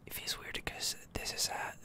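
A person whispering ASMR commentary over a video game, rambling a little and repeating words, close to the microphone. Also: moderate pace. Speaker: gaming streamer, ASMR video host.

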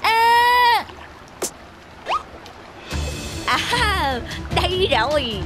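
A woman's voice: very high-pitched, sing-song, extremely slow, heavily acted. A woman voicing a greedy, grumbling predatory old crane in a children's cartoon, scheming and exclamatory. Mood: gloating. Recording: music behind the voice.

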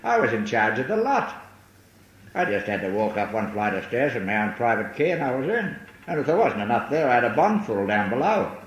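Older Liverpool man, rambling testimony in echoey hall. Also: on the low side. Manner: rambling, self-mocking, full of hesitations. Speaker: speaker at a recovery meeting. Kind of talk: personal testimony talk. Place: large hall. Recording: echoey hall, faint hiss on old tape.

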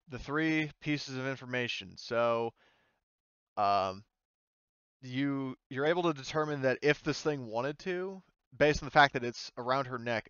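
A man acts as game master in a casual, hesitant way, feeling for the right words. His voice is smooth and sits at a middle pitch. The recording is dry and a little muffled. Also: fairly bright tone, no room sound. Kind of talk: casual tabletop roleplaying narration and chat. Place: voice chat in an online game session.

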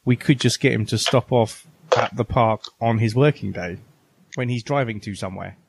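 An English man talks casually on a podcast. His voice sits at a medium pitch, and he speaks in an informal, conversational way, as a host among friends. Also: normal speed, gravelly, very noisy. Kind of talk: casual banter.

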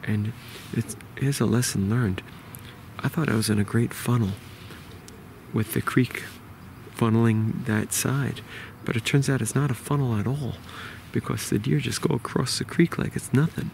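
A man in his forties with a New York accent, quietly explaining a hunt to a close-held camera outdoors in the woods. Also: steady tone, moderate pace, gravelly, slight background noise. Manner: quiet, low-key explaining. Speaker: bowhunter. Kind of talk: hushed outdoor hunting vlog. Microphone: camera held close to face.

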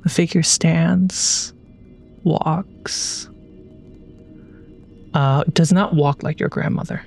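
A man's voice: fairly high pitch, steady tone, extremely slow, vocal fry, a little mellow, soft background music. A man narrating a tabletop fantasy game as game master, describing scenes and prompting the players.

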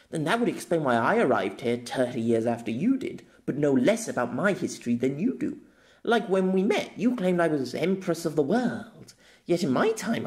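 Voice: medium pitch, a little mellow, lightly acted. A storyteller voicing a proud, playful young noblewoman in an audio novel, with arch, formal diction. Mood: excited.

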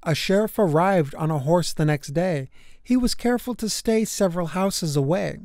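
A woman's voice: lowish voice, moderate pace, mellow, no echo, studio quality. A woman narrating a rhyming children's storybook expressively, in a way that is easy to follow. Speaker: children's book reader.

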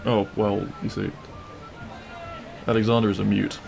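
A man playing a cheeky, kind-hearted bandit prince in an intimate audio roleplay, with a gravelly voice and a teasing, reassuring manner. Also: fairly low pitch, flat delivery, moderate pace, darkish tone, faint music behind.

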